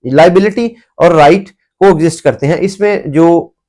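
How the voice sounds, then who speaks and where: A man with a Pakistani accent and a notably high voice delivers an explanatory lecture. He works through his points methodically, restating them in other words. English legal terms are mixed into his speech throughout. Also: moderate pace, a little mellow. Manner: methodical, repeats and rephrases points. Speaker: law lecturer.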